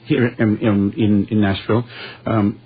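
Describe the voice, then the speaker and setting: A middle-aged man, a guest musician, telling lively anecdotes in a casual radio interview. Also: on the low side, unhurried, breathy edge. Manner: animated storyteller, chuckles through anecdotes. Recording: dull lo-fi radio sound.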